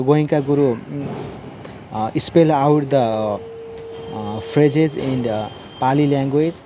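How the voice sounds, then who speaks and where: A man's voice: mid-range voice, noisy background. A man from Nepal, a native Nepali speaker, speaking English with a Nepali accent, hesitantly sharing his meditation experience with many fillers and restarts. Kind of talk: unscripted personal testimony.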